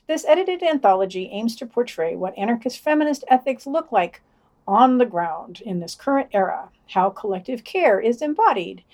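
A woman's voice: medium pitch, a little mellow. A woman co-hosting a podcast, reading out a news digest with dry, wry asides. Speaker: podcast co-host.

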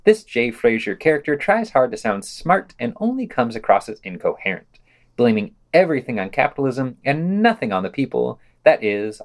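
A man reading scripted podcast commentary as a host, with a sardonic, dismissive manner. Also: mid-range voice. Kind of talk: scripted review commentary, read aloud.

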